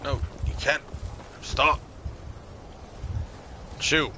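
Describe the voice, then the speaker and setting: A man roleplaying live while playing a video game, halting and thinking aloud as he goes. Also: a little high, little pitch movement, bright, forceful tone, slight background noise. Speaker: streamer roleplaying a game character. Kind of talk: live in-character gameplay roleplay.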